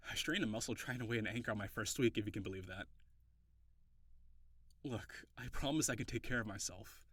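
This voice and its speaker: A man's voice: lowish voice, fairly quick, fairly bright tone, slightly rough. A man acting a young runaway nobleman turned pirate in an audio roleplay, playful and fond, with teasing, easily flustered protests. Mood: reassuring. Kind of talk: intimate audio roleplay acting, one side of a dialogue.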